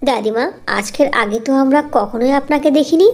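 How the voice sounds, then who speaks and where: Woman voicing an earnest high-pitched young girl. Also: a little fast, a little mellow. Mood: polite, curious. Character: caring young orphan sisters, eager and polite girls. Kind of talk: Bengali fairy tale cartoon dub.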